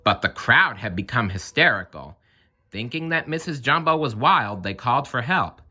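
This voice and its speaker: Animated, bright-voiced male children's storyteller. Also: medium pitch, normal speed. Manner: expressive, animated. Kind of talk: children's read-aloud narration with playful asides.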